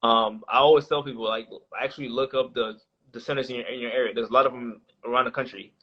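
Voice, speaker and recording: A man's voice: mid-range voice, fairly even intonation, fast talker. A man in his late twenties, a podcast guest talking casually with lots of fillers and restarts. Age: 20s. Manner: rambling, many fillers and restarts. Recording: dull lo-fi sound.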